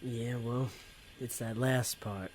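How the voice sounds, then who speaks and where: A man's voice: medium pitch, fairly even intonation, slow pace. A man playing a conflicted, working-class demon tempter in an audio drama, talking in a colloquial, hesitant way. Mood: hesitant, troubled.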